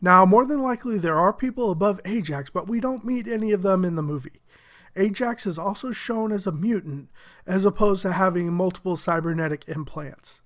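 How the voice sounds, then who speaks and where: A man giving a casual, upbeat movie review, his voice a little muffled from a head cold, chuckling now and then. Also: high-pitched. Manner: upbeat, enthusiastic, chuckles often.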